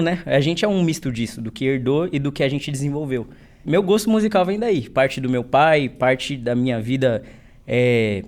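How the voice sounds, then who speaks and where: Young man, music student, higher pitch, earnest, reflective. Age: young adult.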